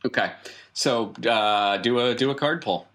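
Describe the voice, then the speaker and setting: A man narrating a tabletop roleplaying game as game master, in a conversational, halting way with vivid colloquial detail. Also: medium pitch, a bit bright.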